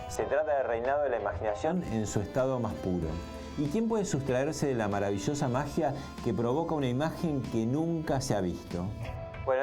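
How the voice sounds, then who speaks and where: A man presenting a programme with scripted narration and a sign-off manner. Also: medium pitch.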